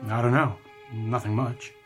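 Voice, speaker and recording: A man's voice: mid-range voice, steady tone, measured pace, soft background music, natural voice. An actor playing a wary, stern middle-aged father in a radio drama, firm, guarded and plain-spoken. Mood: subdued, fond.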